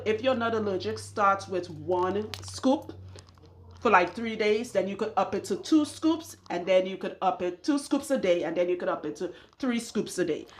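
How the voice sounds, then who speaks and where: A woman's voice: mid-range voice, slight background noise. Chatty Trinidadian woman in her forties. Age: 40s. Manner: candid, chatty, digressive, laughs often. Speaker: loctician and hair care vlogger. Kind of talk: casual advice monologue.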